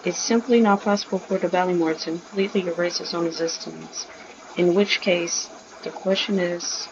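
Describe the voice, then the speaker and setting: Gamer woman reading game lines out loud, muffled lofi audio, noisy. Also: lowish voice, steady tone, moderate pace. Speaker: gaming video creator. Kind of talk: reading game dialogue aloud, commentary. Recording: dull, noisy home recording.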